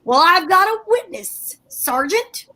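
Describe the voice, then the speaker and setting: Lady doing a bossy old time radio wife, real high voice, theatrical, wheedling and scheming. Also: expressive, takes it slowly, bright, forceful tone, full character voice. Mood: bluffing. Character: Liz, bossy scheming madcap wife, bluffing confidently.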